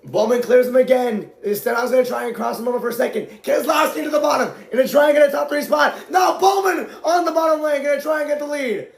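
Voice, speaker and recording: Excitable amateur male race caller, high voice, hoarse from shouting, echoey room. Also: quick pace, hardly any pauses. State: voice going hoarse from strain. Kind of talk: excited live play-by-play commentary.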